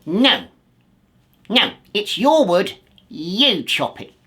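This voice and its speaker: A man's voice: high-pitched, sing-song, pushed and bright, slightly rough, pitched above the performer's own voice, heavily acted. A man voicing a mean, miserly character from a children's storybook, whining and stammering as he goes. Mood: grumpy, dismissive.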